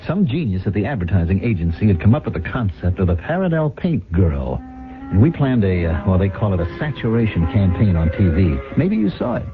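An ambitious businessman in a vintage radio play, low in pitch with lively intonation. He is brash and self-justifying, always selling. The recording is dull, like old broadcast radio. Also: a little fast, loud music behind the voice. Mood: smug. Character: ambitious, defensive, brash male paint-company boss. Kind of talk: 1970s radio drama acting and first-person narration.

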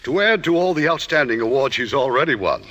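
A man's voice: mid-range voice, expressive, brisk, fairly bright tone, long reverb tail. A man announcing scripted promotional copy as host of a 1950s radio broadcast.

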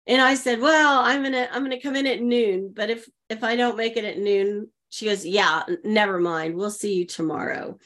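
A woman's voice: mid-range voice, moderate pace, hardly any pauses, a bit bright. A middle-aged woman from Oklahoma, a guest telling her story animatedly and acting out the voices she quotes. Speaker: podcast guest, leadership coach. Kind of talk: storytelling interview.

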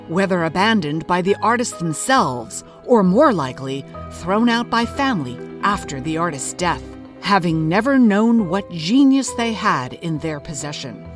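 An earnest arts investigator narrating an audio drama. She speaks in a measured, articulate, dramatic way with lively swings of pitch, and music plays prominently behind her. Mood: earnest, reflective. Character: B.K. Will, earnest intense investigative cultural obscurist narrating her search. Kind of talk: scripted podcast narration.

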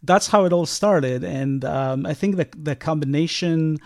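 A man who grew up in Israel, a sound engineer guest talking in a casual interview, pausing often with fillers and restarts. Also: a little high, a little mellow. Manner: halting, many fillers and restarts.

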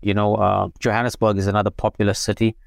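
Lower-voiced South African man telling his story. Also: flat delivery, fast, gravelly, no room sound, studio quality. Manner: conversational, many fillers and repeated words. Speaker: guest, photographer and business owner. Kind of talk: casual interview storytelling.

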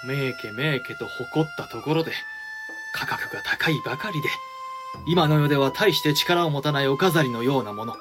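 A man's voice: mid-range voice, normal speed, over music, natural voice. A man playing a dignified, frank samurai clan head and protective elder brother in a Japanese period audio drama, with stately archaic speech. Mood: frank, wry.

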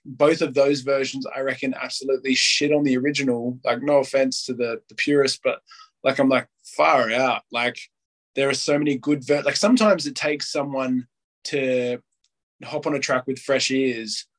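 A man talks in a relaxed podcast chat. His voice sits at an ordinary middle pitch. He rambles, stacks up "like", and slips into acted-out quotes. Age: late 20s. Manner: rambling, heavy use of "like", acts out quotes.

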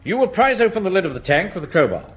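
Casting: a bossy middle-aged English Home Guard captain, officious and exasperated, highish, very lively pitch, vintage sitcom. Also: male voice, racing. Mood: briskly instructing. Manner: officious, barking orders. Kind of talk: broadcast radio sitcom acting. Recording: noisy vintage radio comedy recording.

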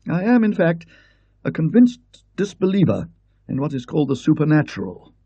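A man's voice: a little high, very animated, moderate pace, warm tone, no echo, voice pushed up. A man voicing a prim, fussy middle-aged professor who speaks precisely, with slight hesitancy. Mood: lecturing. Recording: dull lo-fi recording.

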